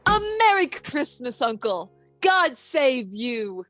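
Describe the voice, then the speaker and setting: Girl acting a cheery earnest young nephew in a radio play, high voice, really dry sound. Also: sing-song, takes it slowly, fairly bright tone, dry recording, faint music behind, heavily acted. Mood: earnest, cheerful. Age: teenager or young adult. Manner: earnest, theatrical, pleading.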